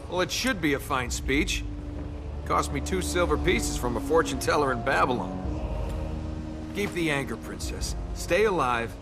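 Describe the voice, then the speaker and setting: Wisecracking young rogue, loud music. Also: male voice, a little high, animated, moderate pace. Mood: earnest. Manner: sardonic, casual banter. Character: young roguish wisecracking male adventurer, flippant, self-interested. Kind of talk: video game cutscene acting.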